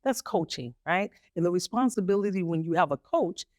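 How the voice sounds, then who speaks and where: A woman speaking as a guest mentor, explaining things calmly in conversation. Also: lowish voice, fairly quick, no echo, studio quality.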